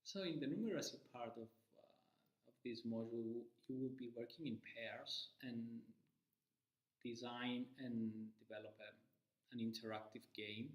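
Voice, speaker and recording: A man from Cyprus who lectures in primary mathematics, introducing his part of a course in a measured way, with frequent uh pauses. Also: mid-range voice, reverberant, highs cut off.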